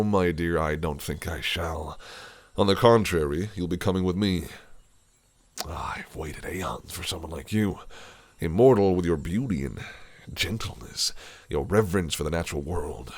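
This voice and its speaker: A man's voice: low voice, creaky, full character voice. A man voicing a possessive, cunning fae prince in an audio roleplay, speaking in a silky, teasing, menacing way. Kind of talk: listener-directed audio roleplay.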